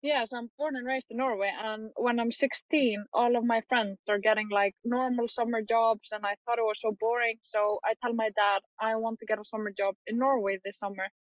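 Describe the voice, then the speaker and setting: A young woman talks casually as an interview guest, quick and full of fillers, often breaking into laughter. Her voice sits a little high. The sound is dry and a bit muffled. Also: steady tone, no room sound. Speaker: photographer guest.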